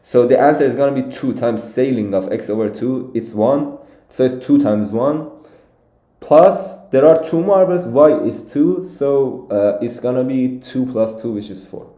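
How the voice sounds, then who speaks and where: A man's voice: medium pitch, measured pace, mellow, echoey room. A young man originally from Iran, walking through contest solutions on a live stream and thinking aloud with many fillers and restarts. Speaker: competitive programming streamer.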